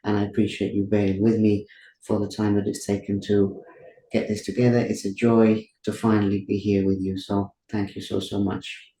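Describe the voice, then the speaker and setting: A man's voice: on the low side, completely flat delivery, normal speed, warm tone. Welsh man hosting, echoey room. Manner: effusive and grateful, thanking at length. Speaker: podcast host. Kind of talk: podcast interview introduction and questions. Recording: echoey room with faint hiss.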